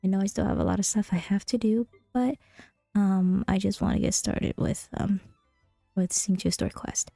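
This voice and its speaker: A woman's voice: medium pitch, steady tone, normal speed, vocal fry, warm tone, dry recording. A woman casually chatting as she streams a game, her voice affected by a cold she is recovering from. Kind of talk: casual gameplay stream chat. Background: faint game music.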